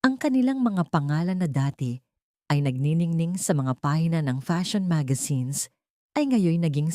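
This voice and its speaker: A woman narrating a sentimental story from a script in a measured, solemn, poetic manner. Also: deep voice, warm tone, no echo, studio quality.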